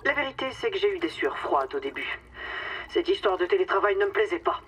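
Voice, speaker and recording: A woman's voice: mid-range voice, big pitch swings, a little fast, a bit bright, husky, far from the mic, exaggerated voice. An actress playing a domineering, cynical female boss in a satirical radio drama, condescending and theatrical, with mock sweetness. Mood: smug, confiding.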